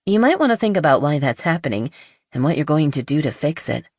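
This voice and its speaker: A woman voicing a blunt, honest, skeptical but loyal best friend in an audiobook, with a dry teasing manner and husky voice. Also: low voice, big pitch swings, quick pace, a little mellow, dry recording, full character voice. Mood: frank.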